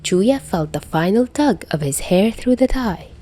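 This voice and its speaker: A woman reading a story aloud as narrator in an expressive scripted style. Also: mid-range voice, unhurried. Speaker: fan fiction narrator.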